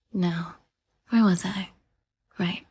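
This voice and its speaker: A woman voicing a vain, possessive goddess in an audio roleplay, with a breathy, whispery voice and a self-adoring, teasing manner. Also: lowish voice, darkish tone, heavily acted. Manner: self-adoring, teasing, sing-song swings up. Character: vain, possessive female goddess, adult, obsessive.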